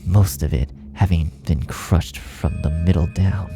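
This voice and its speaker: A man narrating a horror story from a script in a dramatic, gravelly voice that often sinks toward a breathy hush. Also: deep voice, steady tone, unhurried, over music. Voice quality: gravelly, often dropping to breathy near-whisper.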